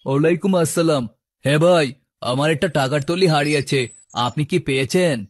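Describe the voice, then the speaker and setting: Polite gentleman voiced by a man, highish pitch. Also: a little fast, no echo, clean studio sound, lightly acted. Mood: polite, eager. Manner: polite, earnest. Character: anxious, grateful, courteous gentleman, father of a bride. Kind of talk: Bengali cartoon moral tale dialogue. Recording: music clearly behind the voice.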